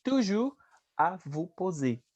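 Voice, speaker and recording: A man teaching French in a relaxed conversation, patiently correcting and repeating phrases slowly. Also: high voice, lo-fi sound. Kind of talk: conversational language lesson.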